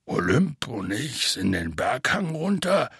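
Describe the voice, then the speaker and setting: A man voicing a huge, gruff, good-natured male half-giant who tells a rambling, folksy story in a deep gravelly rumble. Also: big pitch swings, takes it slowly, a bit bright, no room sound, studio quality, exaggerated voice. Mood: telling tale. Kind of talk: audiobook character voice.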